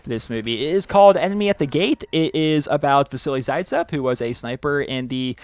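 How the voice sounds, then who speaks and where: A man's voice: mid-range voice, brisk, clear, resonant tone, dry recording. A young man hosting a gaming channel, chatting casually and losing his voice, rambling with lots of fillers. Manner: rambling, filler-heavy, "like" after every few words. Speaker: YouTube gaming channel host. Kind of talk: casual chat answering viewer questions.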